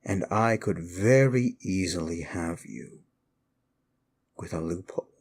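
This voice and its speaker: A sly male demon played by a man speaks in a low voice and takes his time with every phrase. He is a wicked manipulator in an intimate ASMR audio roleplay, teasing his listener with condescending ease. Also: takes it slowly, a little mellow, breathy edge, exaggerated voice. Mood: sly, brooding.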